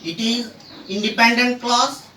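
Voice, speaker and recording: A teacher explaining English grammar step by step, in short halting phrases broken by pauses. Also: medium pitch, steady tone, bright, forceful tone, a little hiss or hum. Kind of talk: slow explanatory grammar lesson. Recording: roomy echo, distant microphone.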